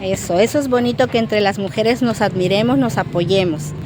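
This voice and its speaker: A woman's voice: medium pitch, normal speed. A woman with a Mexican accent doing casual street interviews, encouraging and affirming the people she talks to, outdoors in a town. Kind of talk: casual vox-pop interviewing. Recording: noisy outdoor street, traffic behind.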